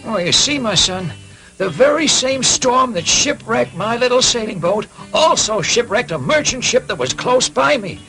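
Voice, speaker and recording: A man voicing a frail, loving old father in a cartoon dub, halting, tremulous and easily moved. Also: very high voice, big pitch swings, sharp tone, soft background music, heavily acted, poor audio. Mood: weary, recounting.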